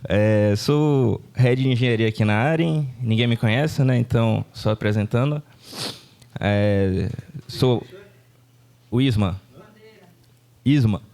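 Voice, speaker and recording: A Brazilian man from the interior of Bahia, a head of engineering, introducing himself casually and haltingly at a meetup. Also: mid-range voice, gravelly, dark tone.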